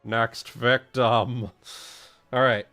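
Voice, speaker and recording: A man's voice: medium pitch, level tone, extremely slow, bright, forceful tone, soft background music. A man playing a tabletop game, chatting casually, repeating short phrases and muttering sums aloud.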